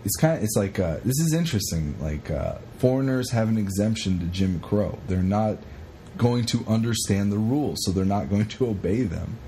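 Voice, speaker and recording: Low-voiced man, rambling and profane. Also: animated, normal speed, dark tone, a little hiss or hum. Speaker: podcast co-host. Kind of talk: casual chat retelling a historical story.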